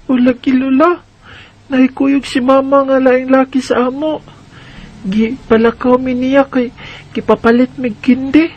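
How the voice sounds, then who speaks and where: A man's voice: very high-pitched, little pitch movement, measured pace, lo-fi sound, full character voice. A man voicing an earnest eleven-year-old boy. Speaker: narrator and main character. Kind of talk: radio drama narration and acting.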